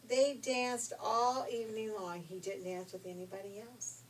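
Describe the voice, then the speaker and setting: A woman's voice: medium pitch, a little slow. Older woman telling stories, slightly distant mic. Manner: folksy, expressive, chatty. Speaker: storyteller. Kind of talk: informal read-aloud fairy tale storytelling with asides. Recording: distant mic with faint background noise.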